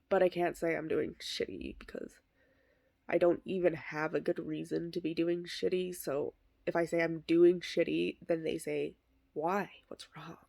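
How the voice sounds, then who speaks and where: Woman performing a male horse figure, bitter, sardonic, deadpan, low-pitched, gravelly. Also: heavily acted. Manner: deadpan, self-deprecating, wry. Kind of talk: comic monologue performance.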